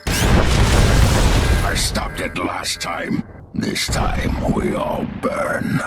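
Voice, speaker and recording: An actor playing an angry, deranged alien brute in a video game, talking in halting, primitive short declarations. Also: male voice, low-pitched, monotone, creaky, over loud music, heavily acted. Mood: menacing rage. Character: furious deranged alien brute speaking broken, simple sentences. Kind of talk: dramatic video game acting.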